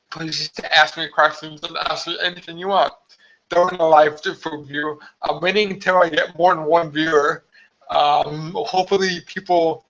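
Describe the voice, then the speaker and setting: A hoarse man chatting casually on a live stream from home, rambling with many fillers. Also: on the high side, fairly bright tone. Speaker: vlogger hosting a live stream. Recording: slightly dull lo-fi live stream audio.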